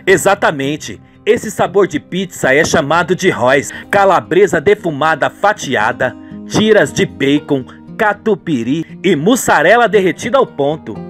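Man acting a smitten young boyfriend, lively intonation. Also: a little high, a little slow, faint music behind. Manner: earnest, romantic. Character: smitten, reassuring young boyfriend, soap opera.

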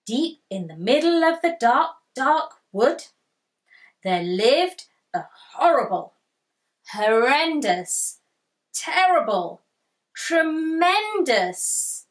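A woman voicing a friendly young male dog in a children's storybook, with gentle, expressive phrasing. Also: high voice, drawn-out words, pitched above the performer's own voice, exaggerated voice. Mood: dramatic reading. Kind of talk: children's storybook character read aloud.

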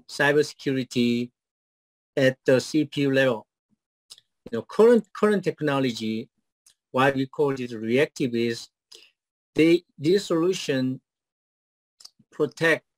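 A man's voice: medium pitch, dry recording, poor audio. Korean-accented man on a video call. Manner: hesitant, many fillers and restarts when answering. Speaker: startup founder pitching. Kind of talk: rehearsed pitch then halting answers. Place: home office on video call. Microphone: video call webcam.

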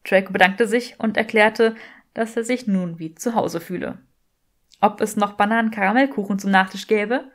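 A woman's voice: medium pitch, moderate pace. A woman reading scripted fiction as an audiobook narrator. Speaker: audiobook reader.

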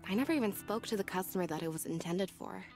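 A woman's voice: mid-range voice, animated, quick pace, a little mellow, natural voice. An actress playing a nervous young woman shop clerk in a visual novel, hesitant and meek. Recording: faint music behind.

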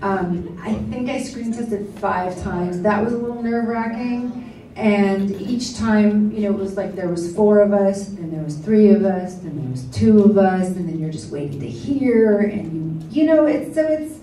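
Mellow-voiced woman in an echoey hall. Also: medium pitch, moderate pace, distant mic, a little hiss or hum. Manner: earnest, reflective, with fillers. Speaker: actress on convention panel. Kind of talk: convention panel talk. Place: convention hall panel.

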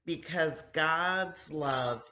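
A woman in her sixties talking and reading to children in simple, deliberate phrases with frequent pauses, as a story time host. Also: sits low, pushed and bright. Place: home kitchen, indoors. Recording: roomy echoey home webcam recording.

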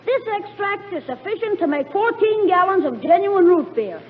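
Tinny old radio with audience, squeaky-high know-it-all american kid, wisecracking. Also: male voice, sing-song, moderate pace, a faint crowd, slight background noise. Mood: defensive. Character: cocky, wisecracking young boy, know-it-all nephew. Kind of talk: 1940s American radio comedy acting.

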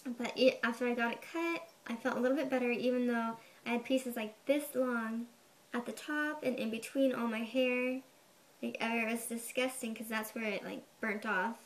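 A young woman vlogging to her webcam from her bedroom, with a high, girlish voice, chatty and a bit defensive, saying "like" a lot. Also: poor audio. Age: young adult. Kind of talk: casual to-camera explanation. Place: bedroom, indoors. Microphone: webcam at desk.

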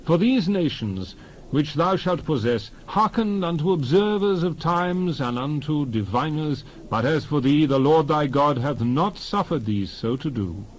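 Solemn male Bible narrator, animated intonation. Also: a little high, a little hiss or hum, low-quality recording. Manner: solemn, declamatory, measured phrasing. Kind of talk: dramatized scripture reading.

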